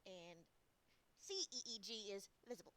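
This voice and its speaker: A woman's voice: mid-range voice, moderate pace, fairly bright tone, rough recording. A woman streaming a game playthrough, commenting casually and talking to herself in a giggly way.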